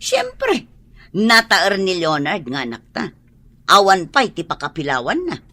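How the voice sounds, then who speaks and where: A spiteful, envious wife in a radio drama. Her voice is bright and cutting, mid-pitched, with an Ilocano accent and a scornful delivery. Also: huge pitch swings, unhurried, heavily acted. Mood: mocking.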